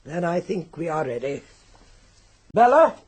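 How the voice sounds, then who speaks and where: A woman playing a sinister, self-assured occultist leading a séance, deliberate and commanding, in a vintage radio drama. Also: fairly low pitch, big pitch swings, a little mellow, slightly rough, exaggerated voice. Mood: calm authority. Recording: noisy old radio recording with hum.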